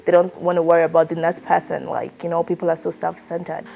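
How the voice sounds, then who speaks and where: A woman in her twenties, raised in Nigeria, answers interview questions in a loose, conversational way. Her voice sits a little low, and faint music plays behind her. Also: fast talker, vocal fry, poor audio. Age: 20s. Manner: relaxed, chatty, many fillers like "like" and "you know". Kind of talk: oral history interview.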